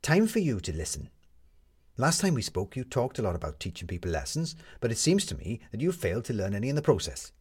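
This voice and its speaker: A man's voice: medium pitch, wildly swooping pitch, quick pace, husky, pitched above the performer's own voice. A man voicing a commanding, wry woman reporter in a story, articulate and sardonic as she lectures. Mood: brisk, firm.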